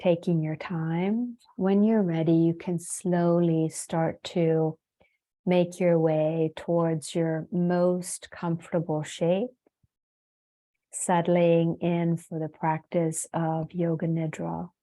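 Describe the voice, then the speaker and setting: A woman leading a guided meditation as a yoga teacher, soothing and unhurried, leaving long pauses between phrases. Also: lowish voice, flat delivery, warm tone.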